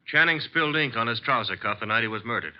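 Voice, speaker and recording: A brisk, wisecracking detective's assistant played by a man with an American accent. His mid-range voice carries a radio mystery on a slightly muffled vintage recording. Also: mid-range voice, expressive, lightly acted. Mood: sharp, businesslike. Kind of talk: old-time American radio drama acting.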